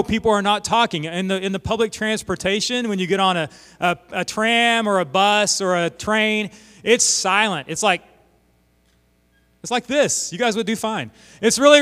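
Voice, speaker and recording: A middle-aged man from Oklahoma preaching a sermon as a visiting missionary pastor, telling stories in an animated way. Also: sits high, clear, resonant tone. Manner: animated storytelling with voiced asides. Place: church sanctuary. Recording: long hall reverb.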